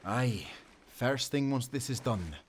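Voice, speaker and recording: An actor playing a loyal, good-natured sailor in a nautical audio adventure, speaking earnestly with friendly seafaring phrasing. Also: male voice, medium pitch, lively intonation, takes it slowly, a little hiss or hum. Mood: wistful, friendly. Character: young-hearted, loyal, good-natured sailor and former timberman. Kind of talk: cinematic nautical audio roleplay acting.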